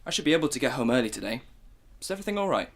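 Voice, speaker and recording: A worried middle-aged husband on the phone, voiced far below a woman's usual pitch, as a woman puts him on. He presses his wife with repeated questions about whether she is all right. This is student radio-drama acting. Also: sing-song, very fast, full character voice. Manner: fussing, repeatedly asks if all is well.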